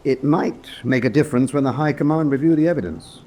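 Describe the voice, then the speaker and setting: An actor playing a conscientious, doubting German military officer in a radio drama, measured and formal in his speech. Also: medium pitch, warm tone, natural voice. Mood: probing, challenging. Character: conscientious, doubting German officer presiding over prisoners' court-martial. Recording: background noise and ambience.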